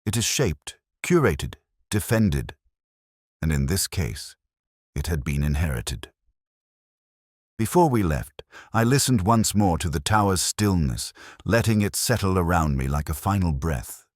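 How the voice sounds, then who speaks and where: A man playing a brooding older English police detective, narrating a mystery drama in a measured, solemn, literary and reflective manner. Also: deep voice, expressive, no echo, clean studio sound. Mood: solemn, wistful. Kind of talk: scripted first-person mystery narration.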